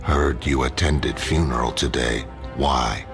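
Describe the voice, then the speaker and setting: A man voicing a menacing masked vigilante who talks in terse, clipped, deadpan phrases in a motion comic. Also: very deep voice, measured pace. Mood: cold menace. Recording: music behind the voice.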